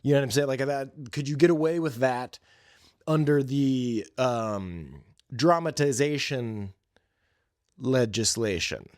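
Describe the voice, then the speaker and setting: A man talks as a podcast host in a rambling, conversational monologue full of casual slang. His pitch sits at a middle level for a male voice, and the recording is close and dry, with hardly any room sound. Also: measured pace, a little mellow, dry recording.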